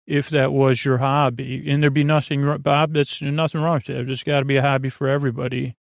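Guy doing a rambly sleep podcast, crackly fry in his voice, keeps drifting into tangents, super dry sound. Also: medium pitch, level tone, no echo. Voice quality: creaky, gravelly. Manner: meandering, halting, repeats and trails off into tangents. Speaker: podcast host.

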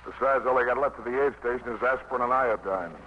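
A man acting as a wry, folksy GI in a 1950s radio war play. His mid-pitched voice is matter-of-fact, heard through a dull broadcast with faint noise. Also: fast, natural voice. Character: wry, plain-spoken American army infantryman.

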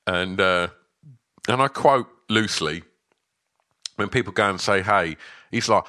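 A man's voice: low voice, unhurried, pushed and bright, no room sound, clean studio sound. A man co-hosting a podcast, chatting casually, stumbling and repeating words as he thinks. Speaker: podcast co-host. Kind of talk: casual chat about fights.

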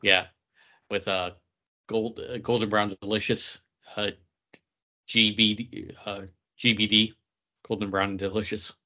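A man's voice: lowish voice, level tone, unhurried, long pauses, pushed and bright. An American man chatting casually as a podcast co-host. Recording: dull, lo-fi audio.

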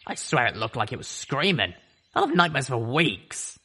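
A man putting on a gruff wry griffin woman. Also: medium pitch, very animated, moderate pace, pushed and bright, pitched above the performer's own voice, exaggerated voice. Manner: drawling, folksy slang. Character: gruff female griffin smoker, wry and tough.

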